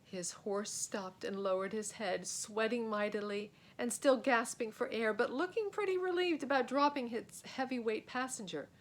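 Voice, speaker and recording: A woman reading a children's book aloud as a storyteller, in an expressive, dramatic way. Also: a little high, moderate pace, few pauses. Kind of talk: animated children's book narration.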